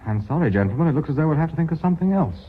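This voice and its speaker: A man's voice: fairly high pitch, expressive, rapid-fire, slight background noise, natural voice. An actor with a British accent playing an exasperated but orderly company vice chairman in a radio drama. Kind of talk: BBC radio drama acting. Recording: dull lo-fi radio recording.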